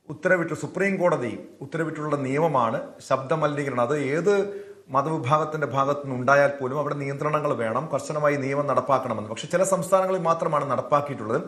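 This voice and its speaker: A man's voice: fairly high pitch, fairly bright tone. A man with a Malayali accent moderating a television debate, putting long, formal, probing questions to panelists. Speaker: news debate host. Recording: roomy echo.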